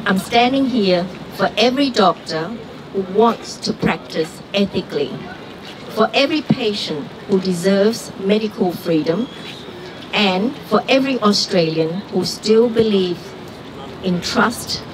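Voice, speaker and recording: A woman from Vietnam, giving an earnest, deliberate prepared speech at an outdoor rally. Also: mid-range voice, lively intonation. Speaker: rally speaker, doctor. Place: outdoor street gathering. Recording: far-off street recording with traffic noise.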